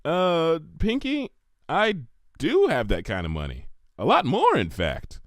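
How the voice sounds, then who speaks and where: A man's voice: on the high side, wildly swooping pitch, measured pace, no room sound, clean studio sound. Man playing a prim, precise young unicorn mare. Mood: reserved, proud. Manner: precise, pedantic, dignified. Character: prim, studious, proud young female unicorn pony. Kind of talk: fan fiction character reading.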